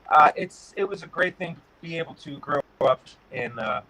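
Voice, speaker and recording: A middle-aged man from Connecticut answering interview questions in a relaxed way, pausing often with fillers. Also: a little high, low-quality recording. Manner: relaxed, pauses with many "uh" fillers. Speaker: guest, guitar builder.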